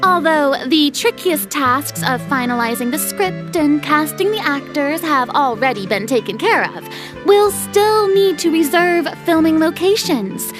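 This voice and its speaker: A woman's voice: high voice, lively intonation, unhurried, music in the background. A woman playing a proud, theatrical young star turned film director in a video game, haughty and self-important. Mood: businesslike, pensive.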